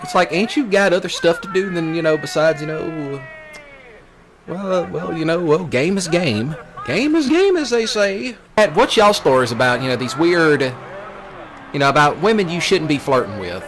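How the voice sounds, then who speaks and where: A man's voice: a little high, moderate pace. A man with a Southern US accent, a streamer rambling casually in a folksy, brash way with rural slang, often repeating himself. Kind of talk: casual rambling rant over game play. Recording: noisy, with steady vehicle sounds behind.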